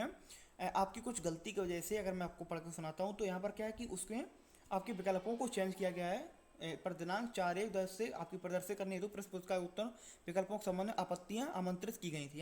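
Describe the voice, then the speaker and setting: A man's voice: high-pitched, darkish tone. A man presenting an exam-update video in an informal explainer style, repeating his points and answering his own questions.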